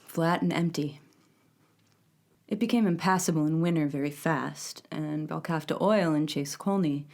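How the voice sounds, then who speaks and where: Woman playing small town lady police chief, low voice, dry and factual, plainspoken interview style, unhurried. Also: darkish tone. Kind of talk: naturalistic documentary interview acting.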